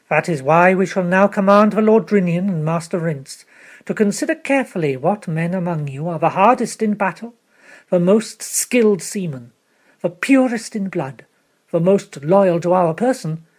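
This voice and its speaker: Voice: medium pitch, lightly acted. A reader voicing a young male king who speaks in a regal, formal, commanding way.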